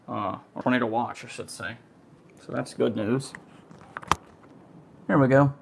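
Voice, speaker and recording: A man's voice: medium pitch, fairly even intonation, measured pace, creaky, noisy background. A man hosting an amateur livestream in a casual, informal way, thinking aloud as he goes. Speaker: amateur storm-watch livestream host. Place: a room at home.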